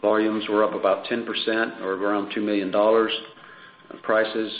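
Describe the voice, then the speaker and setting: A man presenting prepared financial results as a company executive in a measured, businesslike way on a conference call. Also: fairly low pitch, level tone. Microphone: conference call line. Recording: dull, echoey conference call with faint noise.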